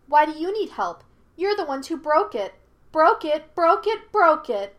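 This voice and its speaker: A woman voicing a mischievous, childlike monkey spirit in a story, high-pitched, petulant and mocking with a sing-song manner. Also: sing-song, measured pace, fairly bright tone, heavily acted. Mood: mocking, accusing.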